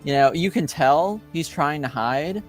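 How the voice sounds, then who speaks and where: A man acting as game master, improvising narration and rulings in a hesitant, measured way with pauses. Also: on the high side, soft background music.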